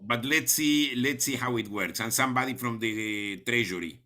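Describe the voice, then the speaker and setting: Co-host guy on a video call, bright sharp voice, short upbeat replies. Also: medium pitch, normal speed. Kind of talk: online panel discussion.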